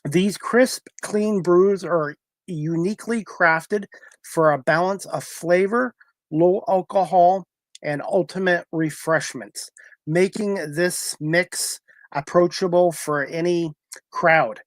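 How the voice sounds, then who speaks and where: A man's voice: high voice, slow pace, no echo. A man hosting a talk show, reading sponsor announcements conversationally with occasional stumbles. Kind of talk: live sponsor read, conversational.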